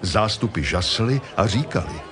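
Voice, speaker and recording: A male narrator reads dramatized scripture in a rather low voice. His pitch moves in a lively way, and a faint crowd can be heard behind him.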